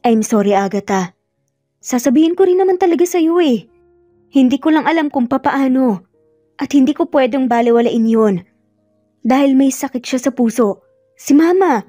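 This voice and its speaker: A woman's voice: high voice, moderate pace, pauses often, mellow, no echo, studio quality, soft background music, close to an everyday voice. A woman voicing a remorseful, pleading young male boss in love, in a dramatic romance story. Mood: pleading, apologetic.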